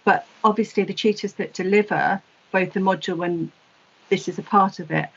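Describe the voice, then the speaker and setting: A woman academic giving a halting, hesitant slide presentation on a webinar. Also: medium pitch, fairly even intonation, creaky, slightly rough. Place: online webinar call. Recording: dull lo-fi webinar audio.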